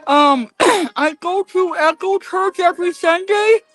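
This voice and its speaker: Female volunteer, notably high voice, halting and hesitant as she introduces herself. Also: fairly bright tone. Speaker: event volunteer.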